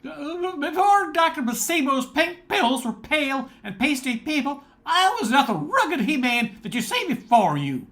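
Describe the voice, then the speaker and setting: A timid bachelor in his fifties, played by a man in a comic radio commercial. He is nervous yet puffed up about his manliness, with a sharp, animated voice. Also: extremely high pitch, a little slow, hardly any pauses, exaggerated voice. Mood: nervous, boastful.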